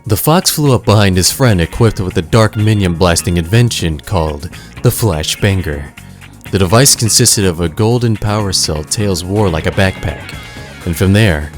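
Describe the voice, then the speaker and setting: A man narrating a scripted audio drama in a dramatic storytelling manner. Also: low voice.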